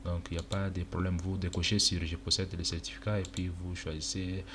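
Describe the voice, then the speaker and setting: Low, flat-voiced young man from Chad. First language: Arabic. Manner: casual, halting, many fillers. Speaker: tutorial presenter. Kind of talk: screen-recorded walkthrough. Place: indoor room at a computer. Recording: noisy home recording with keyboard clicks.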